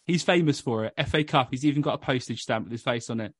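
A man's voice: medium pitch, quick pace, no room sound, clean studio sound. A man hosting a football podcast, chatting casually with blunt, pessimistic banter. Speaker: podcast host.

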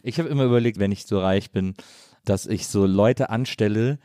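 A man's voice: fairly low pitch, moderate pace, warm tone, dry recording, clean studio sound. A man hosting a podcast in a casual chat, talking colloquially and rambling along. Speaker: podcast host.

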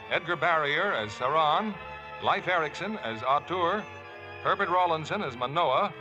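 A man's voice: on the high side, rough recording. A man with an American accent reading scripted sponsor copy and credits as a 1950s radio announcer. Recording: vintage 1950s radio broadcast with music. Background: music.

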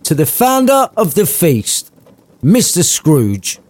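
Man acting a high-pitched, doting Victorian father. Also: huge pitch swings, takes it slowly, a little mellow, no room sound, heavily acted. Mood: warm, conciliatory. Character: gentle, doting poor Victorian father, excitable.

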